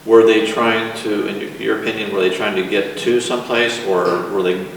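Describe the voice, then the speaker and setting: A man with a low, gravelly voice puts his questions with pauses and hesitation. He is recorded from far off in a large echoing hall, with faint room noise behind him. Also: fairly even intonation. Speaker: interviewer. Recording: distant echoey hall recording.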